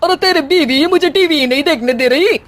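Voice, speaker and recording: A woman voicing a bossy, bickering middle-aged mother-in-law who scolds dramatically in an animated family comedy story. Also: high-pitched, brisk, voice pushed up, natural voice. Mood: irritated, bossy. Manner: scolding, dramatic, sharp-tongued.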